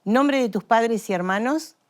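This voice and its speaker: A woman interviewing a survivor, asking brief, calm questions. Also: on the high side, a little slow.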